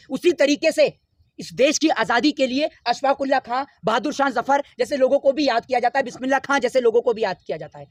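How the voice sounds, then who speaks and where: A man's voice: extremely high pitch, dry recording. Man going off on a political rant, lots of rhetorical questions, dry close mic. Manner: forceful, rhetorical questions, lecturing. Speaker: interviewee. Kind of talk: impassioned political argument.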